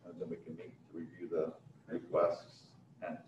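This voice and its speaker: A man's voice: sits low, completely flat delivery, normal speed, background noise. A man chairing a board meeting, formally reading out agenda items, picked up by a distant mic in an echoey, muffled room. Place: indoor council chamber. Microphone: distant room microphone on a video call.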